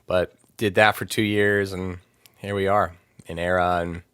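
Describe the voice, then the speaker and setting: Deep-voiced man rambling casually. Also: flat delivery, a little slow, fairly bright tone, clean tone, no echo, studio quality. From Maryland, USA. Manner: rambling, filler-heavy, laughs easily. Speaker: podcast guest, metal vocalist. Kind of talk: casual interview chat.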